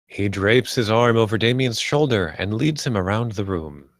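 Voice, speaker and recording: A man's voice: fairly low pitch, moderate pace, no echo. A man reading scripted stage directions as the narrator of a live screenplay table read.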